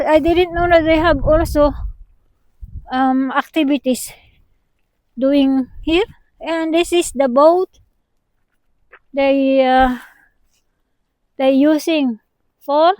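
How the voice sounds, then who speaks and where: A woman with a Filipino accent, a native Tagalog speaker, giving a chatty, halting travel-vlog tour outdoors by a windy harbor. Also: sits high, darkish tone, dry recording, low-quality recording. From Philippines. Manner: chatty, halting, mixes in Tagalog, laughs often. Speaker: vlogger.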